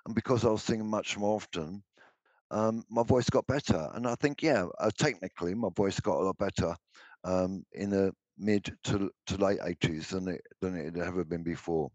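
An older man with a deep baritone voice, answering interview questions about his songs, hesitant with many restarts. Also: moderate pace, darkish tone, no room sound. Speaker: musician guest.

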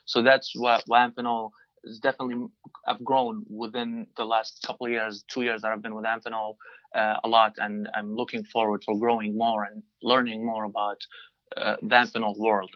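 A man in his twenties from Libya, a native Arabic speaker, answering interview questions in a halting way with many restarts. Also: lowish voice, pushed and bright, dry recording, muffled recording. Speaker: guest, design engineer.

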